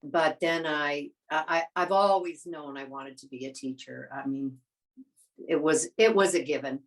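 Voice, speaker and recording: A woman's voice: deep voice, measured pace, rough recording. A woman in her seventies, born in the Netherlands, telling her story conversationally as an interview guest. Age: 70s. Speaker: interview guest, retired teacher.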